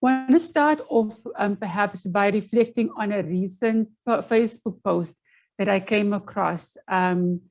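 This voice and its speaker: South African woman, Afrikaans first language, presenting on a video call from home, earnest and measured, restarts often. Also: medium pitch, darkish tone. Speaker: panel presenter. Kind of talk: online conference presentation.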